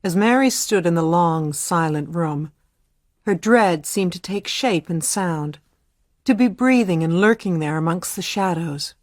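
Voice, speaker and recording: A woman's voice: lowish voice, animated, unhurried, no room sound. Close, dry female story narrator. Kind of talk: scripted literary story narration.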